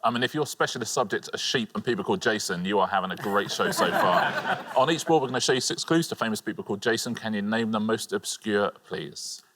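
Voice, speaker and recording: Wry quiz co-host, lower voice. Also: male voice, fast talker, a bit bright. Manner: wry, chatty, reads out facts. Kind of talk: dry, witty commentary on answers.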